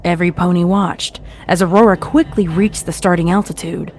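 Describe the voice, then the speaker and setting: A woman's voice: on the low side, fairly even intonation, moderate pace. A woman reading a scripted story as its narrator, in a dramatic storytelling style. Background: faint music.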